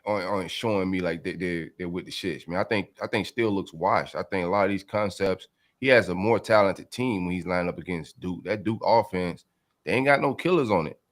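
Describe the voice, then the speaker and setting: A man's voice: deep voice, a little fast. Blunt, slangy man pressing a point. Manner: pushy, blunt, slangy questioning. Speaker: sports talk co-host. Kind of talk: casual sports debate.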